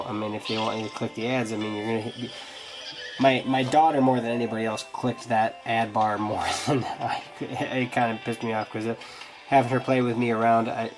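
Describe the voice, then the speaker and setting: A man's voice: mid-range voice, rough recording. A man with a stuffed-up, nasal voice from a cold gives a casual, meandering review commentary on a mobile game. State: congested, getting over a cold. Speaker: app reviewer, host. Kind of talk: casual review commentary over a gameplay demo.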